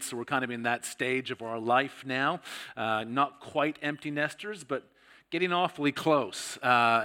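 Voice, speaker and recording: A man's voice: medium pitch, sharp tone. A middle-aged man with a Canadian accent, preaching a sermon as a guest pastor in a conversational, self-deprecating way.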